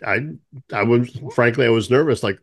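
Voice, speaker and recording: A man hosting a music podcast, chatting in a relaxed way and telling stories with fillers. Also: mid-range voice, moderate pace. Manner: relaxed, anecdotal, with fillers and laughs. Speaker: podcast host. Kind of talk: casual interview chat.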